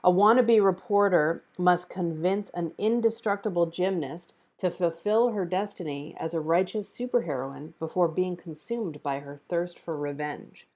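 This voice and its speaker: A woman discusses a script casually, measured and tactful as she gives her view. Her voice is fairly low, with a soft, dark, relaxed tone. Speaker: script table read panelist.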